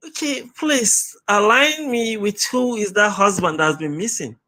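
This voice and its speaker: A man with a high-pitched voice, a guest on a livestream chat who protests defensively. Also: unhurried, bright, forceful tone.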